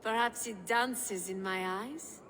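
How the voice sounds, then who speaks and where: A woman's voice: mid-range voice, takes it slowly, a bit bright. Haughty noblewoman in an echoing hall. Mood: sardonic. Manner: haughty, sardonic, theatrical. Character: Estrid, proud, fiery Frankish noblewoman, lord's sardonic wife. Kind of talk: video game cutscene acting. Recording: long hall echo, background noise.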